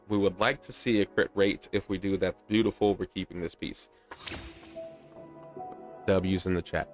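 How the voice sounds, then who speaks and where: Male game streamer, lowish voice, chatty and playful, casual commentary over faint music. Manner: chatty, playful slang, self-deprecating.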